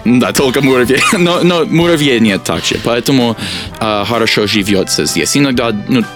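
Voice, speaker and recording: An American man, a teacher whose first language is English, answering interview questions in a halting way with many fillers. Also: mid-range voice, animated, a bit bright, soft background music. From United States. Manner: hesitant, many fillers, searches for words. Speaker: guest, teacher.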